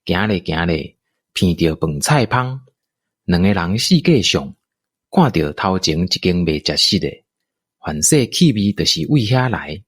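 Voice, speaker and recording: A male narrator tells a radio-play story in a Taiwanese accent. His low, slightly husky voice moves in lively swings of pitch, close and dry. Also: unhurried, no echo. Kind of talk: scripted radio drama storytelling.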